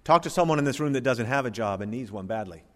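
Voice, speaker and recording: A middle-aged male pastor preaching a sermon in a church, moving between loud emphatic bursts and quiet, low asides. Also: lively intonation, fast talker. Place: church sanctuary. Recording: church hall reverb.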